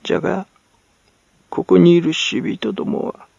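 Croaking, high-pitched old hag. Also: male voice, expressive, a little mellow, no room sound, lo-fi sound, exaggerated voice. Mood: defensive muttering. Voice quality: crow-like croak, gasping. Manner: halting mumble, archaic rustic speech. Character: croaking, muttering, self-justifying old hag in rags.

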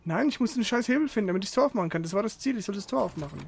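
A man's voice: high voice, expressive, rapid-fire, vocal fry, breathy edge. A man playing a video game and commenting casually, grumbling and swearing coarsely as he goes. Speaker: gamer playing and commenting for a channel. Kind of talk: casual let's play chat.

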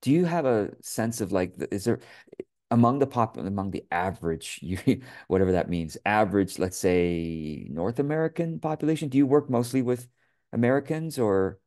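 A deep-voiced middle-aged man from Taiwan hosts a video call interview. His questions come out halting, full of restarts and fillers, with an easy laugh here and there. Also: lively intonation, darkish tone. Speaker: host, business coach. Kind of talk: conversational interview. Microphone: webcam on a video call.

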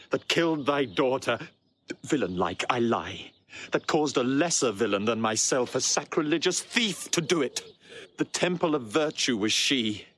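An actor playing a remorseful, self-loathing noble husband, declamatory and impassioned in Shakespearean audio drama. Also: male voice, mid-range voice, a bit bright.

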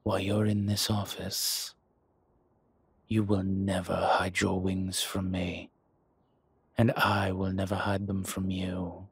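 A very husky, low-pitched dragon crime lord played by a man in an intimate roleplay audio. He speaks slowly and deliberately, commanding yet teasing and protective, with breath in the words. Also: completely flat delivery, no echo, full character voice. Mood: tender, proud.

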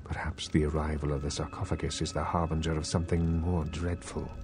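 An actor playing an old, courteous vampire in a video game, speaking formally with grave, ominous deliberation. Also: male voice, very deep voice, flat delivery, noisy background. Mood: grave, foreboding. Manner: slow, formal, ominous delivery. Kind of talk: scripted video game acting. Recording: music behind.

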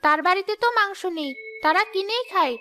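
A woman's voice: very high-pitched, expressive, brisk, sharp tone, full character voice. A woman playing a poor but proud, outspoken village housemaid with a plaintive, indignant manner in a cartoon. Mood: questioning. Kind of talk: cartoon dialogue. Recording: music behind the voice.

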